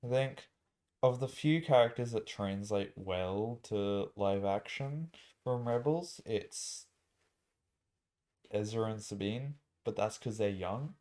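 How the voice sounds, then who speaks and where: A man's voice: lowish voice, slow pace, darkish tone. A man co-hosting a podcast, chatting casually and bluntly with plenty of swearing and slang. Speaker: podcast co-host. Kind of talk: casual chat.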